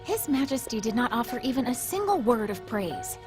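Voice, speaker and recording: Polite eager young servant to royalty, game cutscene, high voice that moves around a lot, soft music. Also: female voice, sing-song, a little fast. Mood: concerned, deferential. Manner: polite, deferential, earnest. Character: loyal, eager young female royal attendant. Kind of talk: video game cutscene acting. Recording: faint music behind.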